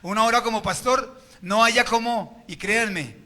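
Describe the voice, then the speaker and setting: A man's voice: high-pitched, measured pace, bright, forceful tone. A Colombian man preaching a sermon as pastor at a church service, firing rhetorical questions at the congregation.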